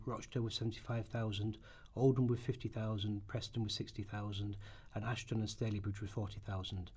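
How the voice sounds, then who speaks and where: Male narrator for documentary radio piece: husky, measured, rehearsal-style script reading. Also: on the low side, a little mellow.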